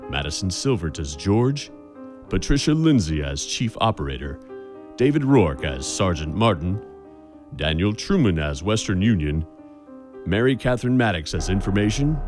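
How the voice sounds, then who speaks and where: Suspense radio host: low, deliberate, ominous and wry, with music behind. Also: male voice. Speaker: narrator and announcer. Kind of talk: theatrical old-time radio narration.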